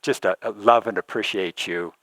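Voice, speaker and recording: A man's voice: on the low side, fairly even intonation, moderate pace, a bit bright, no room sound, low-quality recording. A man interviewing a church member, hesitant and repeating words as he asks questions.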